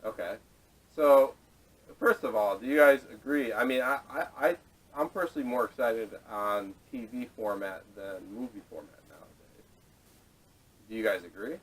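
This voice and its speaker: A man hosting a casual podcast discussion, speaking haltingly with repeated words, picked up by a distant camera microphone. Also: mid-range voice. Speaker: podcast host. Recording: distant, salvaged camera audio.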